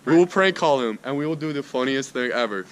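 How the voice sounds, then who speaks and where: A man's voice: mid-range voice, lively intonation, fast talker. Young American man swapping stories, background noise. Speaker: vlogger telling a story. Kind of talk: casual storytelling with friends, slangy. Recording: noisy amateur video audio.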